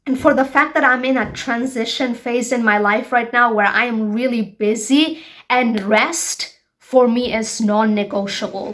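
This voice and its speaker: Young woman from bangladesh hosting her vlog, talks straight at you, kinda high voice. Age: 20s. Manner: frank, emphatic, talks straight to her viewers. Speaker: show host. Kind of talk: personal vlog monologue.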